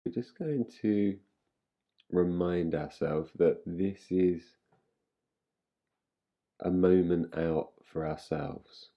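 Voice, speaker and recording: Guy doing guided meditation, really slow n soothing, long pauses, kinda muffled recording. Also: low-pitched. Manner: unhurried, soothing, gentle instruction with long pauses. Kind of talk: guided relaxation meditation, yoga nidra.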